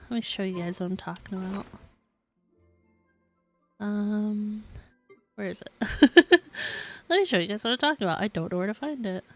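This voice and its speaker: Female streamer, casual and chatty, rambling live gameplay talk with lots of "like", light music underneath. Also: mid-range voice. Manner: rambling, giggly, hedging with frequent "like". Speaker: game streamer. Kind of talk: casual live-stream chat while playing a game. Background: game music.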